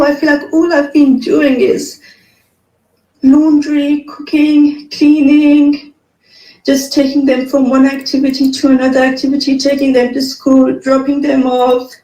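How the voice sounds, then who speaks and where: A woman's voice: high-pitched, warm tone, reverberant, rough recording. A woman from Afghanistan, a mother, speaking earnestly to other mothers and listing her daily chores one by one as she vents. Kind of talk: personal monologue to other mothers.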